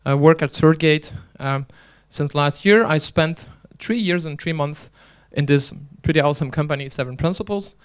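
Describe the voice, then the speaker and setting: Clear-voiced man giving a joking conference talk. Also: a little high, moderate pace, few pauses. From Belgium. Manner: chatty, joking, restarts often. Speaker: conference speaker and organizer. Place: conference hall.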